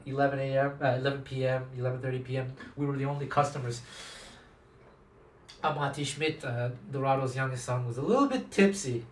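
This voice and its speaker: A man's voice: on the high side, fairly bright tone. A man whose first language is Taiwanese, a jazz guitar teacher talking casually to camera, thinking aloud with many fillers and restarts. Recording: echoey room, mic at a distance.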